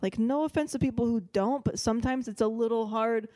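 Young woman, podcast co-host, casual storyteller, laughs a lot, higher pitch. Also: fairly quick. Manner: animated storyteller, laughs often. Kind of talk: casual chat.

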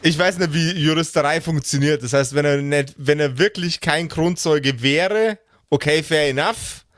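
A man's voice: on the high side, normal speed, fairly bright tone, no room sound. A man narrating an improvised tabletop role-play as game master.

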